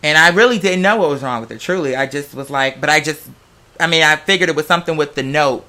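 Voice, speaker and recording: Sassy man with a high, bright voice. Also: quick pace. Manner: sassy, slangy, profane, theatrical. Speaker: vlogger. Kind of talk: casual storytelling to camera.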